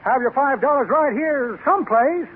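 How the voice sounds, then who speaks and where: A man's voice: very high-pitched, expressive, normal speed. A man playing a pompous, shifty amateur magician with grandiloquent, evasive patter in 1930s radio comedy style. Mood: blustering, evasive. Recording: tinny, noisy 1930s radio recording.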